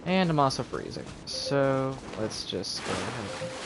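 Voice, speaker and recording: A man's voice: mid-range voice, very animated, measured pace, vocal fry, low-quality recording. A man giving casual live gameplay commentary as a game streamer, thinking aloud as he plays. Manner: thinking aloud while playing. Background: game music.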